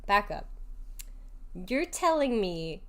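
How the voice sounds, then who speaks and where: A woman's voice: mid-range voice, sing-song, takes it slowly, fairly bright tone. A young woman streaming a video game, giving casual, joking commentary with slangy, teasing reactions. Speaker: vtuber streamer playing a game.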